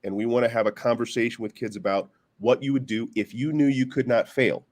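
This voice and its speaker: A man's voice: mid-range voice, steady tone, brisk, darkish tone. A man on a discussion panel explaining his view conversationally, often searching for words and posing questions as examples.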